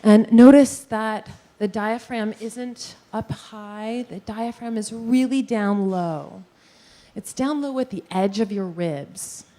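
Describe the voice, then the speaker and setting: A woman giving a lively presentation on posture and breathing to a live audience in a meeting room, guiding them through movements. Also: medium pitch, slow pace. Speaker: posture and breathing teacher giving a workplace talk.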